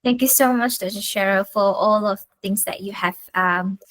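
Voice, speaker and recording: Polite, halting woman moderator. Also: medium pitch, fairly bright tone, no echo. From Malaysia. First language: not English. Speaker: webinar moderator. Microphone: video call.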